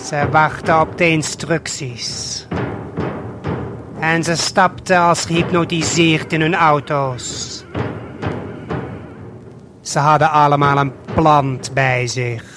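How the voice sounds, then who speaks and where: A man narrating an audio play in measured short phrases, speaking straight to the listener with deliberate pauses. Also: on the high side, fairly even intonation. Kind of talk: slow scripted storytelling addressed to the listener. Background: prominent music.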